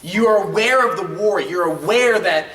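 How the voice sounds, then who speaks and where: Male co-host, casual and emphatic, forceful tone, distant mic in a roomy space. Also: high-pitched, moderate pace. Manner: emphatic, profane, repeats phrases for emphasis. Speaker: co-host of a video game show. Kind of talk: casual group chat pitching ideas.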